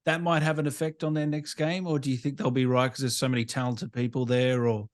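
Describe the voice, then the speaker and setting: A man's voice: medium pitch, flat delivery, fast talker, no echo, clean studio sound. A man hosting a casual interview, speaking informally and agreeably like an enthusiastic fan. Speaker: interviewer, gaming channel host.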